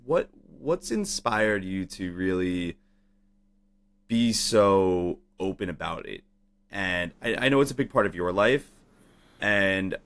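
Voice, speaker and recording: A man's voice: lowish voice, fairly bright tone. A man hosting a casual, conversational interview. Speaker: podcast interviewer.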